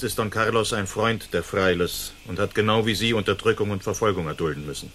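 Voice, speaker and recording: An actor playing a bold, gallant masked outlaw in a German radio play, speaking in a commanding, courtly way. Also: male voice, lowish voice, fairly bright tone, a little hiss or hum, natural voice.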